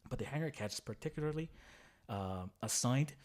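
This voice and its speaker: A man's voice: medium pitch, animated, brisk. A man in his thirties, originally from Chile, chatting casually as a podcast guest and telling stories about his work. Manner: storytelling, repeats words when starting sentences.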